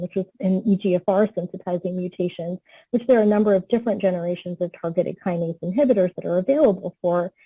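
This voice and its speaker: A woman oncologist giving a medical webinar lecture, explaining clearly, a little hoarse. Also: mid-range voice, mellow, no room sound, poor audio. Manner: clear explanatory tone, asks for next slide. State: slightly losing her voice.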